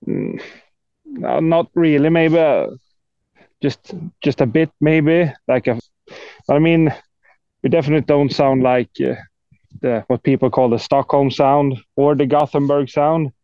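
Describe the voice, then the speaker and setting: A man's voice: on the high side, fairly even intonation, unhurried, pauses often, clean tone, dry recording, dull audio. A man from Sweden with a Swedish accent chats casually as an interview guest, in a hesitant, self-deprecating way. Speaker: guest, death metal guitarist.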